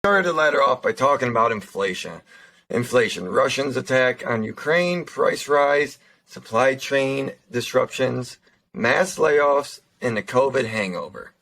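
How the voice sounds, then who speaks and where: A young man with a slightly raspy voice co-hosts a casual podcast, talking his way through stories with frequent fillers and restarts. His pitch sits in an ordinary middle range. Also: expressive, sharp tone, poor audio. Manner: halting, lots of fillers and restarts. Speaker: podcast co-host. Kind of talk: casual chat, reading news articles.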